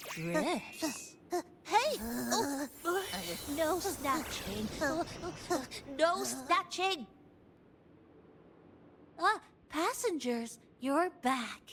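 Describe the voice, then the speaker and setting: A woman voicing a gentle, whimsical, easily flustered tea server in a video game, with an airy, drawn-out, faltering delivery. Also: high voice, slight background noise, full character voice. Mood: dreamy. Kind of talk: video game story dialogue.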